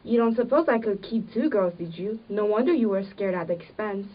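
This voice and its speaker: A woman's voice: mid-range voice, fairly quick, natural voice. A woman playing a sickly, bitter, complaining farm wife with old-fashioned rural phrasing in an amateur period drama. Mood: bitter, hard. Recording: muffled audio, distant microphone.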